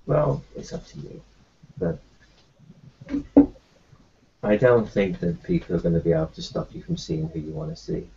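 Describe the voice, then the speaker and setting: A man's voice: on the high side, very animated, normal speed, gravelly, pauses often, warm tone, a little hiss or hum. A man roleplaying in a tabletop game, talking in a rambling, hesitant way with many restarts and fillers, in a dull lo-fi recording. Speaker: player voicing his character in a tabletop game. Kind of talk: in-character roleplay talk.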